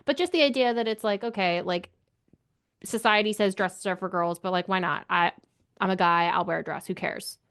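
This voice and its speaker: A woman's voice: mid-range voice, moderate pace, a bit bright, smooth. A woman co-hosting a book podcast in casual conversation, speaking informally and offering her opinions freely. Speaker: book club podcast co-host. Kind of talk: casual book discussion chat.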